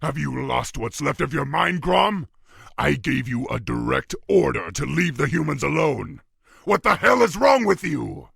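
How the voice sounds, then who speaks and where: Very husky, stern orc war chief. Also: male voice, a little high, big pitch swings, a little slow, fairly bright tone, no echo, studio quality, full character voice. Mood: exasperated. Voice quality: husky, gravelly. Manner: firm, authoritative. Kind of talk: dramatic video game acting.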